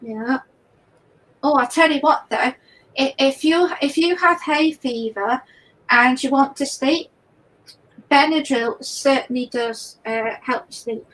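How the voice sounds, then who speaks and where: A woman guest artist with a hoarse voice, chatting casually while demonstrating painting, halting and repeating words. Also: sits high, fairly bright tone, low-quality recording.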